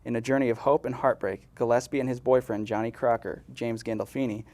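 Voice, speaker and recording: A man's voice: mid-range voice, steady tone, a little fast, darkish tone. A man co-hosting a podcast, speaking in a casual interview style. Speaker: podcast co-host. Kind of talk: casual chat, some reading of prepared text.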